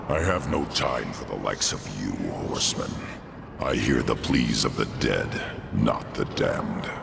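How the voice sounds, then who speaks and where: A gravelly ancient soul-judge. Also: male voice, expressive, unhurried, very noisy, full character voice. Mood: dismissive. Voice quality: gravelly, very deep. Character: ancient male judge of lost souls, stern and weary. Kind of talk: game cutscene voice acting.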